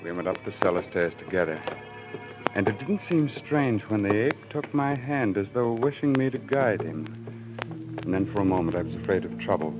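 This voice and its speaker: An actor playing a deranged, murderous husband in an old-time radio thriller, theatrical, swinging between hushed coaxing and frenzy. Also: male voice, on the low side, animated, normal speed, lo-fi sound, natural voice. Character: deranged, murderous husband confessing his crime. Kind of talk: old-time radio thriller acting, first-person narration. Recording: old radio recording with loud music.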